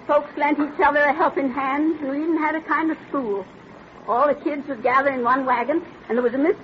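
Actress as wistful frontier lady telling her memories, high voice, old radio play, faint noise. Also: natural voice. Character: woman recalling her pioneer girlhood, plain and wistful. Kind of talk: old-time radio drama narration. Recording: dull vintage radio recording, faint background noise.

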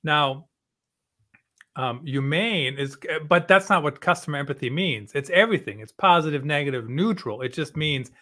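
A man's voice: a little high, lively intonation, moderate pace, bright, forceful tone. A man hosting a podcast, talking casually and checking in with "right?". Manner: conversational, asks with "right?". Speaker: podcast host. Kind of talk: casual interview.

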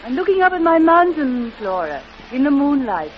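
A woman's voice: high-pitched, sing-song, normal speed. An actress playing a spirited, friendly young lady with a playful, genteel manner, in an old-time radio drama. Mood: wistful, charmed. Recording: dull old radio recording.

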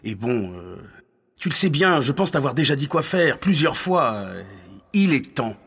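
An actor playing an insistent, scheming counsellor to a Roman ruler in a French audio drama, in a reverberant hall. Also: male voice, medium pitch, big pitch swings, measured pace, a bit bright, slightly rough, full character voice. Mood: urging, restrained. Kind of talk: French audio fiction acting.

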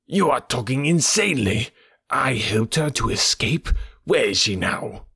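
A man's voice: mid-range voice, expressive, measured pace, sharp tone, breathy edge, no room sound, studio quality, heavily acted. A man reading an audiobook, voicing a cornered old male professor who mocks and protests. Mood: frantic. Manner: mocking, defiant.

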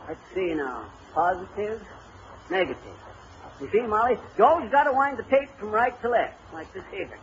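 Boastful bumbling handyman husband, American, high-pitched, folksy patter, old radio comedy, noisy recording. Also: animated, moderate pace, lo-fi sound. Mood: cocky. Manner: folksy, cocky, colloquial patter. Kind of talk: 1930s-40s radio comedy acting.

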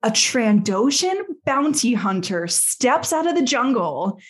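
A woman's voice: a little high, big pitch swings, measured pace, breathy edge. A woman podcast host recapping an episode in casual chat, with a playful, slangy way of telling a story.

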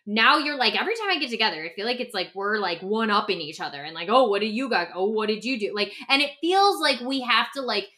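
A woman with a fairly high, very bright voice talks as a podcast host and coach. Her delivery is conversational and emphatic, with loose, informal phrasing and an animated way of making her points. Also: quick pace, few pauses. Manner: animated, emphatic, acts out imagined quotes; informal, peppered with "like". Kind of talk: casual conversational podcast talk.

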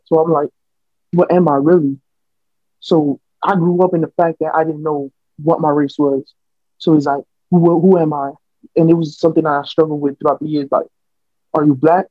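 A man's voice: fairly high pitch, dry recording. Earnest young man, rambling, lo-fi. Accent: American. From United States. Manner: earnest, rambling with many restarts. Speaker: podcast co-host. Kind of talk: casual chat. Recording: dull lo-fi podcast audio, dry.

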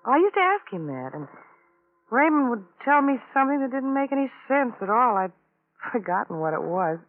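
An actress playing a weary, emotionally numb widow in an old-time radio drama, detached and halting in her phrasing. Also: on the high side, very animated, a little hiss or hum. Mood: numb, bitter. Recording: tinny, narrow-band vintage radio recording.

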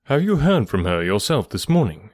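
A man voicing a composed, grave gentleman who speaks in a measured, formal way in an audiobook. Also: lowish voice, very animated, dry recording, studio quality. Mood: probing. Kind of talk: audiobook character dialogue.

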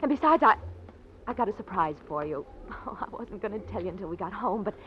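A woman playing a devoted, anxious young wife in an old-time radio drama, with halting, emotional delivery. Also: mid-range voice, animated, close to an everyday voice. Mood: eager, loving. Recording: dull, noisy old radio recording.

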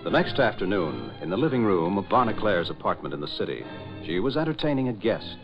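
A man's voice: deep voice, big pitch swings, a little fast, over music. A man narrating as a 1940s radio announcer in a dramatic, declamatory style, on a dull old recording.

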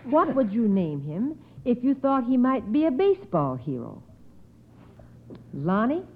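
Female 1950s children's quiz host, encouraging, expressive delivery, narrow-band old broadcast sound. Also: on the high side, measured pace. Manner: encouraging, acknowledges each answer. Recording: narrow, tinny old radio recording.

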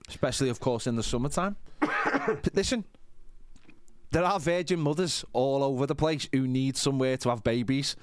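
A man's voice: medium pitch, moderate pace, slight background noise. A man co-hosting a podcast, making jokes in a mock-serious, casual way. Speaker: podcast co-host. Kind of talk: casual comic banter.

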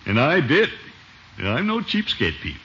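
An American actor playing a blustery, soft-hearted middle-aged family man in a 1950s radio comedy. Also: medium pitch, huge pitch swings, measured pace, exaggerated voice. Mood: jovial, blustery. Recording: noisy, muffled vintage radio recording.

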